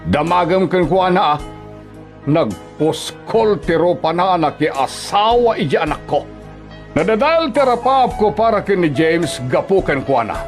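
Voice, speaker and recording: A scornful middle-aged father-in-law in an Ilocano-accented radio drama. He harangues slowly, his pitch swinging widely, mocking and bullying over background music. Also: sing-song, heavily acted. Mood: bitter, angry.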